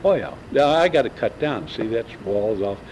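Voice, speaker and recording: A man's voice: lowish voice, lively intonation, moderate pace. A man in his seventies reminiscing in a casual oral-history interview, rambling and often stalling as he searches for names. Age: 70s. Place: indoors in a large banquet room. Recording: lo-fi tape with loud hiss.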